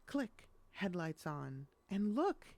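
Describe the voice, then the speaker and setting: A woman reading a rhyming children's picture book aloud in an expressive storytelling style. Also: fairly low pitch, slow pace, no room sound.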